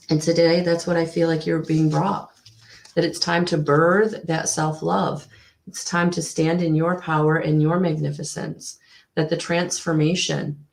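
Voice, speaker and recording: A woman giving a live tarot reading to viewers, with a husky voice, slightly hoarse after a recent illness, speaking in a soothing, repetitive way with frequent ums. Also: deep voice, a little mellow. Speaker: tarot reader.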